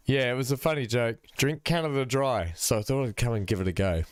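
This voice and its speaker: A man's voice: mid-range voice, brisk, no echo, studio quality. A man with an Australian accent hosting a game stream, chatting casually and laughing often. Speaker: gaming channel host.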